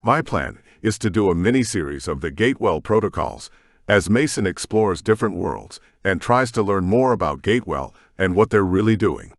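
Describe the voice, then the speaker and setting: A man narrating his own audiobook story and author's note in a measured, expressive way. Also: sits low, no echo, clean studio sound.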